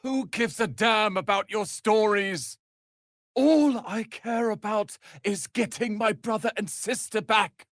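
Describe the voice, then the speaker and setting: Proud young male wizard, haughty, articulate, theatrical, sharp-tongued, fantasy video game, dry close sound. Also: sits high, takes it slowly, no echo, exaggerated voice. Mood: angry, indignant.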